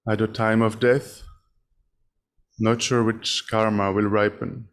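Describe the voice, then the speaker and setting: A man leading a guided meditation as a teacher, speaking in short, measured phrases with long pauses between them. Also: on the low side, level tone. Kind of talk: guided meditation, spoken instruction.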